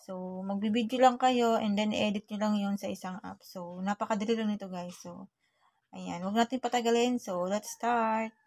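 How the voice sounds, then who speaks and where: A Filipino woman talks viewers through a tutorial in a chatty, familiar way. Her voice is dark and relaxed, with little rise and fall in pitch. The sound is dry, with hardly any room around it. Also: normal speed, no room sound. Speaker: vlogger giving a phone editing tutorial. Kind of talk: casual step-by-step tutorial.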